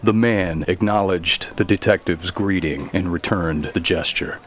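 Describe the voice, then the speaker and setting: A man reading scripted narration for a dark mystery story. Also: low voice, measured pace. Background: faint music.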